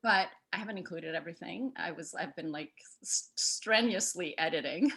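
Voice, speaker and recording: A middle-aged woman from El Salvador talks through her slides on a video call, her voice bright and a little low. She speaks in a loose, conversational way, full of fillers and restarts. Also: moderate pace, gravelly. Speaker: artist giving a talk. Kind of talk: casual presentation over a video call.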